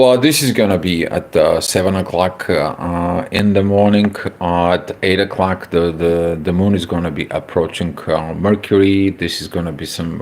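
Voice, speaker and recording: A man from Bulgaria with a Bulgarian accent, hosting a casual astrology livestream, speaking haltingly with many filler sounds and greeting viewers. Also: low voice, a little mellow.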